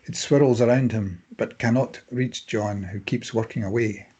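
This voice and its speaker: A man narrating screenplay stage directions in measured, clipped phrases. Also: mid-range voice, steady tone, warm tone, breathy edge.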